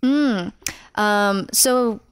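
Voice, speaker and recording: A young woman in her twenties, a musician guest on a podcast, talking casually and animatedly with a smooth voice. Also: mid-range voice, extremely slow. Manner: animated, acts out her own inner voice.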